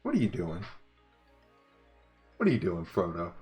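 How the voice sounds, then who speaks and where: A middle-aged man with a deep voice, chatting casually on a livestream as he draws and answers chat. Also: normal speed, faint music behind. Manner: relaxed, rambling, reads and answers chat. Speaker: livestreaming vector artist. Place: home studio desk.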